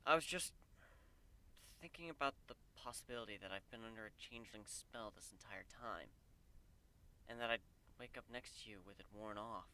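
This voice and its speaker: A man playing an earnest, devoted male pegasus guard in a story reading, gentle and hesitant in manner. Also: moderate pace, rough recording. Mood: anxious confession. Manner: hesitant, low-key, tender.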